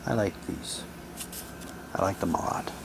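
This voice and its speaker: A man's voice: low-pitched, animated, a little slow, creaky. A man doing a casual show-and-tell, switching between chatty remarks and reading printed material aloud. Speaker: presenter showing audio drama props. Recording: noticeable background noise.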